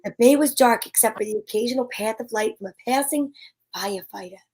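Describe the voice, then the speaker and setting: A woman's voice: fairly high pitch, brisk, darkish tone, close to an everyday voice. A woman playing a wry, wisecracking female private eye in an old-time radio detective drama. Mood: wry narrating. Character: wry, breezy woman private eye narrating her case.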